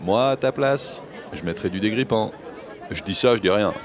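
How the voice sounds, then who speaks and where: A self-assured teenage boy, acted by a man, talks in a casual, nonchalant audio-drama style. His pitch is average for a male voice and moves around a lot. Faint outdoor bustle is heard behind him. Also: animated, moderate pace, a faint crowd, close to an everyday voice. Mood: smug, offhand. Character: cocky, nonchalant teenage schoolboy offering unwanted help.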